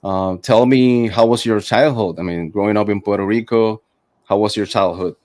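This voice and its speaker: Middle aged guy hosting a podcast, lowish voice, dry sound, casual. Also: fairly even intonation, moderate pace, darkish tone, no echo. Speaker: podcast host.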